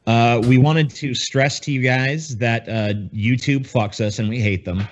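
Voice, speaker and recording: A man's voice: mid-range voice, fairly even intonation, a little slow, lo-fi sound. A man co-hosting a podcast in casual, profane banter with a sarcastic, mock-grandiose manner. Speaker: podcast co-host.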